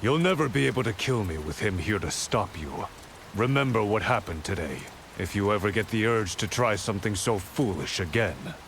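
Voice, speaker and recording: A man's voice: lowish voice, lively intonation, normal speed, a little hiss or hum. An actor playing a proud, magnanimous nobleman warlord in a video game cutscene, with formal, grandiose, courtly speech. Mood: stern. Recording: loud background music.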